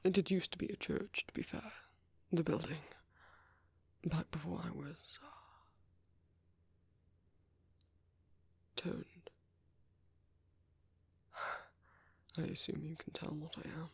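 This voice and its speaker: A British man playing a gentle, courteous vampire priest in an ASMR roleplay, speaking softly and breathily with polite, hesitant reassurance. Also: fairly high pitch, unhurried, long pauses, dry recording, heavily acted. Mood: wistful, reflective. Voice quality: soft, breathy, husky. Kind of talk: ASMR roleplay, soft intimate whispery speech.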